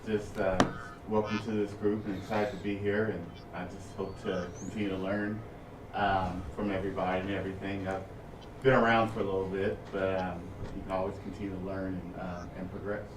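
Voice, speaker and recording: A man's voice: deep voice, level tone, a little fast, distant mic. A man who directs a youth club asks a question at a county meeting, hesitant with many fillers. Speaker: boys and girls club director. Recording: echoey room from afar, loud noise.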